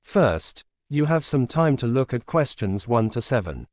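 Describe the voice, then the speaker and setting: A man's voice: medium pitch, very animated, a little mellow, no room sound. Formal male test announcer, dry sound. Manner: formal, measured. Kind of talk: scripted instructional narration.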